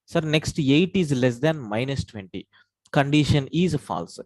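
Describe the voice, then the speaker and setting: A man's voice: mid-range voice, expressive, a little slow. A man teaching an online programming class. He walks through each step and keeps calling his listeners "sir". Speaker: programming instructor. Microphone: own mic at a computer, online class.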